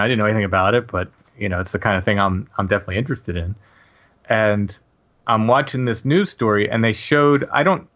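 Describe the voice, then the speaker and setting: A man in his late forties, a podcast guest, telling a personal story casually in a halting way with many restarts and fillers. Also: lowish voice, fairly bright tone, lo-fi sound.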